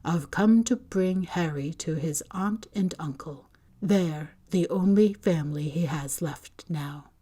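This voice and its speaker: A serene old wizard who never raises his voice, voiced by a woman reading the story aloud. He speaks in a mild, unhurried way, dry humor under the calm. A storybook figure, kindly and composed. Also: sits low, breathy edge, full character voice. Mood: grave. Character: old male wizard headmaster, calm and gently wry.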